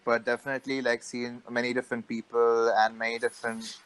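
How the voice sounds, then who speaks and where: Smooth-voiced playful young man. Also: medium pitch, monotone, fairly quick, a bit bright, rough recording. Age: young adult. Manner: playful, upbeat, joking. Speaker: podcast co-host. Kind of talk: casual chat.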